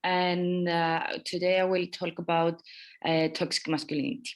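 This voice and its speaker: Female podcast participant, very low voice, flat intonation, explanatory read. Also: moderate pace. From Cyprus. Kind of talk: prepared explanation.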